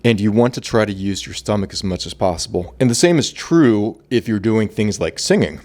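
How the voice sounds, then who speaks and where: A man talking through voice and breathing exercises in a relaxed, conversational way. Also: fairly low pitch, normal speed. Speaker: voice coach. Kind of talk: instructional talk.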